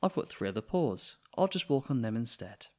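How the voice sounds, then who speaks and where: A grumbling pet cat from a children's storybook, acted out by a man. She is indignant and huffy, complaining with lively, swooping intonation. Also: mid-range voice, a little fast, natural voice. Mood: stoical.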